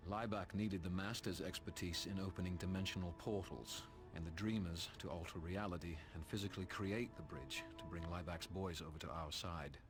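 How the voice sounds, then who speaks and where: An actor playing a cynical, cocky English vampire in a video game cutscene, sardonic and full of slang. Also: male voice, deep voice, normal speed, few pauses, over music, close to an everyday voice. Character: cynical, cocky British male vampire, roguish reluctant ally.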